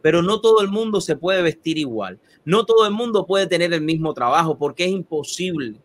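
A man hosting a conversational interview, his voice sitting a little high for a man. He gets animated and speeds up when he drives a point home, then slows down again. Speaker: host.

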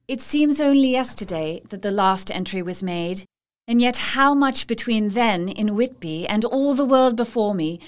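An actress playing a thoughtful, dutiful young Victorian wife, narrating her journal in a reflective and precise manner. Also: a little high. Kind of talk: dramatic diary narration in audio drama.

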